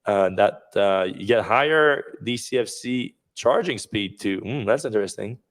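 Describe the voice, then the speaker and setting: A man hosting a casual news podcast, rambling with many fillers and restarts, his voice going hoarse. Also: fairly low pitch, lively intonation, unhurried. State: losing his voice. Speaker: podcast host.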